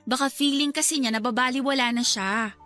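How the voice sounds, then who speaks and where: A woman's voice: high voice, fairly quick, pushed and bright, soft background music. A woman playing a candid, understanding younger sister in a radio drama, chiding and playfully teasing. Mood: frank, reasoning. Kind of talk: radio drama dialogue in Filipino.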